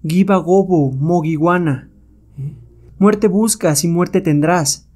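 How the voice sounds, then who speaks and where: A savage jungle queen, put on by a male narrator, speaks in a raised, mellow voice. She is commanding and threatening, every phrase an order from a comic-book villainess. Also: fairly high pitch, takes it slowly, pitched above the performer's own voice. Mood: furious.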